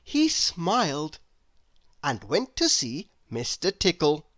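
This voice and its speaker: A man reading a children's story aloud with dramatic, deliberate, expressive narration. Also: on the high side, dry recording, clean studio sound. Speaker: storybook reader.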